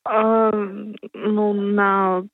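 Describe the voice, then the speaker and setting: A woman of twenty, an athlete answering interview questions in a hesitant, halting way over a phone call. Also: mid-range voice, little pitch movement, no room sound. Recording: narrow telephone line, dry.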